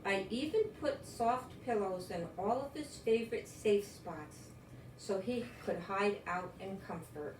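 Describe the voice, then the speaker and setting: An older woman reading a children's story aloud in a gentle, expressive, encouraging way. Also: medium pitch, a little slow. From United States. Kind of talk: read-aloud children's story with chatty asides. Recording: roomy echo, distant mic, faint noise.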